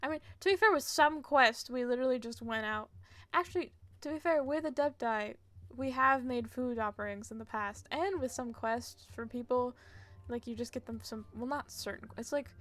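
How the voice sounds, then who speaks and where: A woman's voice: fairly high pitch, a bit bright. A woman streaming a video game with casual live commentary and playful, sarcastic asides.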